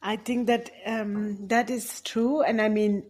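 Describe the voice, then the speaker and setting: A woman from India hosts a podcast and chats with her guest in a casual, thinking-aloud way. She often pauses and restarts as she works out her questions. Her voice sits at an ordinary middle pitch. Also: flat delivery, a little mellow. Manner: conversational, thinks aloud with hesitations. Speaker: podcast host. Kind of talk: casual interview conversation.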